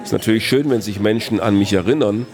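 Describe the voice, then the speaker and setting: A man, a philosophy professor answering interview questions in a measured, reflective way. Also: lowish voice, a little mellow, soft background music. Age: 60s. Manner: measured, reflective, builds long reasoned sentences.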